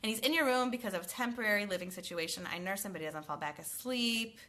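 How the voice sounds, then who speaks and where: A woman in her thirties with a bright voice and a slightly stuffy, cold-struck sound. She talks in a chatty, upbeat way, calling out viewers by name as she answers parents' questions live. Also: medium pitch, fast, a little hiss or hum. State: congested from a cold, sniffling. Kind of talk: live Q&A, reading comments aloud.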